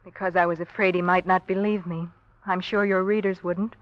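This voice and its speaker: An American actress playing an anxious, earnest wife under suspicion in a 1940s-style radio drama, speaking with emotion. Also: female voice, lowish voice, flat delivery, fairly quick, dry recording, close to an everyday voice. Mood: earnest, defensive. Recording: dull, dry old radio recording.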